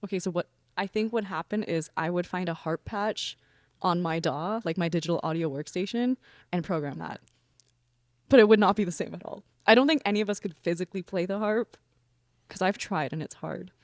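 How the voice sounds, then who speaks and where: A woman singer in a band, talking relaxed and rambling in a casual interview. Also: fairly low pitch, a little fast, no room sound, studio quality. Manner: relaxed, rambling with many fillers. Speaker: band member, vocalist.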